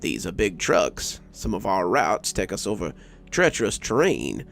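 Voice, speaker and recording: A man voicing a gruff, businesslike boss of a trucking outfit, blunt and plain-spoken, in a story reading. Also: low voice, very animated, unhurried, faint music behind, pitched below the performer's own voice, full character voice. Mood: gruff, appraising.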